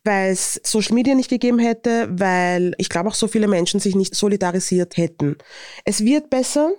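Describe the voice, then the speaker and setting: A woman's voice: medium pitch, moderate pace, no echo, clean studio sound. A woman from Vienna with a Viennese accent who talks frankly and laughs a lot as she answers interview questions about her book. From Vienna, Austria. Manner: lively, frank, laughs often. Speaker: author and interviewee.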